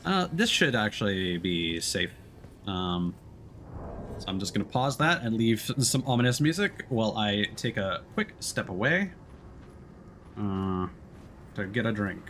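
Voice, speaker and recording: A man's voice: fairly low pitch, big pitch swings, a little slow, pauses often, a bit bright, a little hiss or hum. A man livestreaming a video game, chatting casually and in a friendly way with his viewers. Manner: friendly, addresses chat viewers by name. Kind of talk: casual chatty stream talk while playing.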